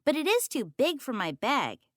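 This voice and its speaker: A woman voicing an eager, stubborn little boy mouse in a children's picture-book read-aloud, boastful and excitable. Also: high voice, moderate pace, dry recording, studio quality, pitched above the performer's own voice, exaggerated voice.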